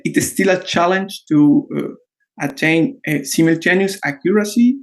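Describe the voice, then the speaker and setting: A man giving an academic presentation in halting, broken phrases. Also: fairly high pitch. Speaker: conference presenter, researcher.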